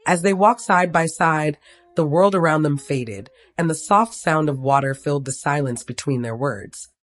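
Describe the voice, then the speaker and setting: Narrator reading out a scripted love story, medium pitched voice. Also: moderate pace, fairly bright tone, soft background music.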